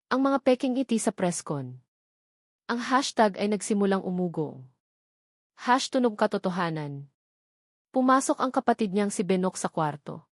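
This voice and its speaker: A woman's voice: medium pitch, darkish tone, no room sound, clean studio sound. A woman with a Filipino accent reading a scripted, dramatic love story as its narrator.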